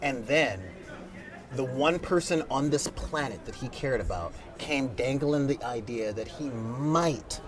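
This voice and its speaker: A man hosting a casual film panel, talking in an animated way with quick asides. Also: mid-range voice, crowd noise. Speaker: panel host. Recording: noisy outdoor recording with wind.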